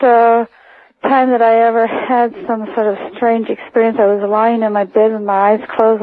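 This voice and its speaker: An older woman calling a radio show by phone, recounting personal stories in a hesitant, halting way. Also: a little high, no echo. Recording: narrow tinny phone line.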